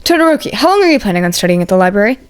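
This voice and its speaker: A woman's voice: mid-range voice, sing-song, racing, smooth, lightly acted. A woman voicing a strict, earnest young man in an audiobook, formal and emphatic as he fusses over others. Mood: brisk, concerned. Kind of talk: fan fiction audiobook character voice.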